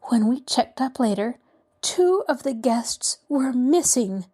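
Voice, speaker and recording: A woman's voice: fairly high pitch, lively intonation, takes it slowly, warm tone, voice pushed up. A woman voicing a genteel middle-aged lady in distress who pleads breathlessly and near tears. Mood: eager, fluttery.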